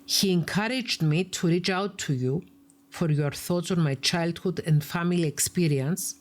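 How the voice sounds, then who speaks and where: A woman psychologist with a Greek Cypriot accent, reading out a viewer's email and commenting on it in an earnest, emphatic way, sometimes dropping to a whisper for stress. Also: fairly low pitch. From Cyprus. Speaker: psychologist and YouTube host.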